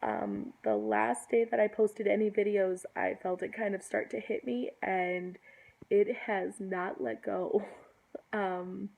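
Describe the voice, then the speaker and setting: A young woman with a hoarse voice from a cold, talking earnestly in a casual vlog. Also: mid-range voice, expressive, takes it slowly, a little mellow. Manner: earnest, lots of ums and restarts. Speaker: pagan vlogger.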